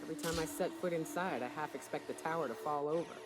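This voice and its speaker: A woman playing a blunt, low-voiced frontier hunter. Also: quick pace, heavy background noise, lightly acted. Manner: blunt, matter-of-fact.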